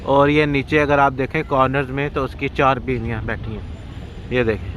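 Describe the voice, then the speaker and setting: A man's voice: medium pitch, steady tone, a bit bright, muffled recording, a little hiss or hum. A man from Pakistan filming a travel vlog outdoors in a city square, pointing out the sights in a chatty way, holding a phone at arm's length. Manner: pointing things out, rapid and chatty. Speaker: vlogger. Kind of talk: casual travel vlog narration.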